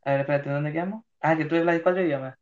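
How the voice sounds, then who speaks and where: A man's voice: a little high, fairly even intonation, rapid-fire, a little mellow. A young Chilean man playing an interviewer, who rambles and searches for words. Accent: Chilean Spanish. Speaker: mock interviewer. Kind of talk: casual role-played interview. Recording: muffled, dull sound.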